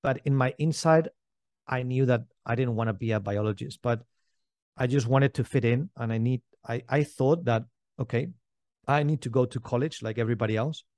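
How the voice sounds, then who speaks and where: Man, mid-pitched voice, casual interview storytelling, dry recording. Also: normal speed, a little mellow, no room sound. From Spain. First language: not English. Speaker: podcast guest, entrepreneur.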